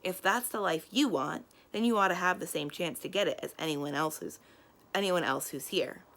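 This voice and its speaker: A chatty young nobleman in his teens, as a woman reader plays him, friendly and dryly wry. He tends to make little speeches, in a storybook style with a slightly creaky edge. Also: on the low side, moderate pace, fairly bright tone. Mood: earnest. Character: talkative, wry, friendly teenage noble boy.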